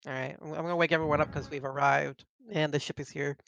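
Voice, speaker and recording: The guy at an online game table calling out his next move, crisp and bright through a slightly muffled mic. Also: a little high, level tone, fast, no echo. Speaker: player. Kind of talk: casual tabletop roleplay chat.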